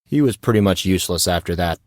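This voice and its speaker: A man plays a cool teenage boy in an audio story. The voice is low and offhand, matter-of-fact in temper, recorded dry and close. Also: expressive, fast, darkish tone, dry recording. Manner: casual, offhand.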